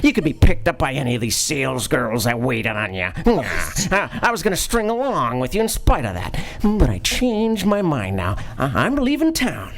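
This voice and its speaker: A woman's voice: very deep voice, big pitch swings, moderate pace, hardly any pauses, full character voice. A woman voicing a menacing, suspicious male crook in a live community radio play, talking in clipped tough-guy slang.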